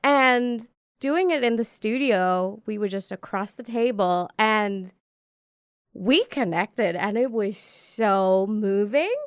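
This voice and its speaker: A woman's voice: medium pitch, slow, clear, resonant tone, no room sound, clean studio sound. A woman chatting casually as a cast member in a panel Q&A.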